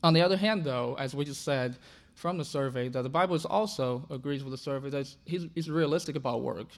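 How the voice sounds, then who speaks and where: A man's voice: mid-range voice, brisk, gravelly. Man preaching, English as second language. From China. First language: Chinese. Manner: earnest, with frequent restarts and repeated words. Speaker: pastor. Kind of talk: sermon.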